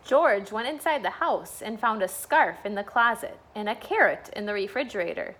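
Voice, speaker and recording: A woman's voice: mid-range voice, expressive, moderate pace, a bit bright, a little hiss or hum. A woman, a school staff member, reading a bedtime storybook aloud for children.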